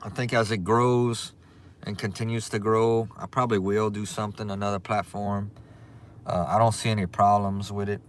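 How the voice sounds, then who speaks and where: A man with a strong Cajun accent, hosting a casual livestream, chatting in a relaxed way and greeting viewers by their comment names. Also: on the low side, moderate pace, slight background noise. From Louisiana. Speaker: livestream host.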